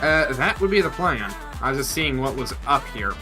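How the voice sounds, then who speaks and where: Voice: mid-range voice, lively intonation, normal speed, music in the background. A teammate on a game voice chat, casually thinking aloud and working out a plan. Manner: thinks aloud, explains plans.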